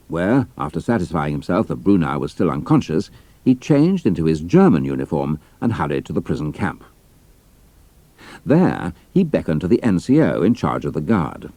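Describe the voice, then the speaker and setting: A man's voice: deep voice, mellow, dry recording. A British man reading scripted narration for an adventure story.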